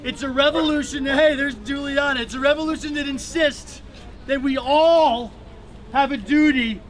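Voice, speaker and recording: Male program organizer, very high pitch, earnest and rallying fundraising delivery over clear outdoor city noise. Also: fairly even intonation, moderate pace. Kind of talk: fundraising appeal to supporters. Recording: noisy outdoor urban recording.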